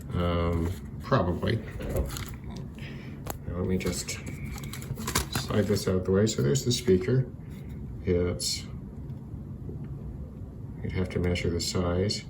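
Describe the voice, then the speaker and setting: A man's voice: deep voice, noisy background. A man giving a casual, unscripted electronics repair walkthrough, thinking aloud in a tentative way with trailing asides. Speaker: electronics hobbyist and video presenter.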